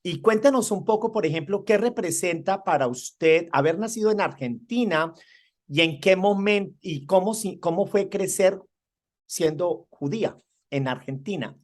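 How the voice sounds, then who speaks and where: A man's voice: high-pitched, expressive, normal speed. A man from Colombia, hosting an interview, asking long questions with frequent restarts and emphatic rises. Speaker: interview host.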